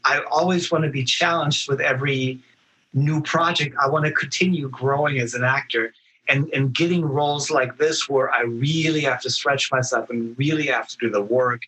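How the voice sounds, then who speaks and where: Bright, husky man talking earnestly. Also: medium pitch, steady tone, moderate pace, rough recording. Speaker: actor, podcast guest. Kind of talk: casual interview talk.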